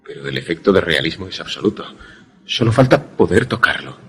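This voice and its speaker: An actor playing a deferential former student who narrates a suspense radio drama in formal, measured, literary language with a husky voice. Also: male voice, low-pitched, very animated, sharp tone, heavily acted. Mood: awed, eager.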